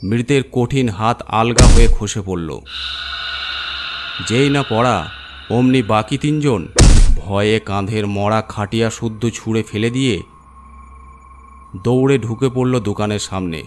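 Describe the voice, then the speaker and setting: Low-voiced man narrating a horror story. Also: a little slow, pauses often, heavy background noise.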